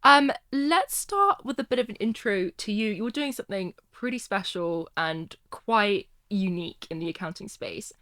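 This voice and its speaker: A woman hosts a podcast interview in a warm, encouraging manner. Her voice sits at a middle pitch, and the recording is close and dry. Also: lively intonation, moderate pace, hardly any pauses, no room sound. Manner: warm, encouraging, frequent affirming murmurs. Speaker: podcast host.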